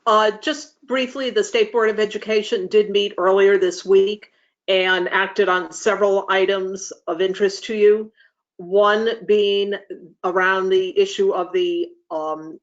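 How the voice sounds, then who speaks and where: A woman giving a policy briefing in a measured, formal, explanatory way. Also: medium pitch. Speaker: policy presenter. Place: online video meeting. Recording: dull lo-fi video call audio.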